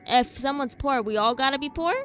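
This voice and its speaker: A woman's voice: sits high, brisk, a bit bright, faint music behind. A woman streaming a game, giving casual, informal reaction commentary as she thinks aloud in a skeptical way.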